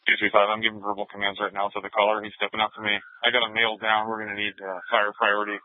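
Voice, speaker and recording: A man's voice: fairly low pitch, completely flat delivery, very fast, dry recording. A male police officer on patrol, giving terse reports over a police radio. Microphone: handheld or car police radio. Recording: tinny, narrow scanner radio transmission.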